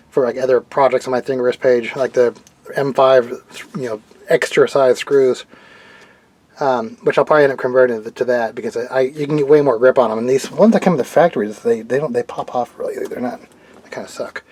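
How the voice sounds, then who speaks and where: A man's voice: medium pitch, a little mellow. A man narrating his hands-on project in a casual, unscripted way, rambling and thinking aloud informally. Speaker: DIY radio hobbyist presenting own project. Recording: clearly noisy background.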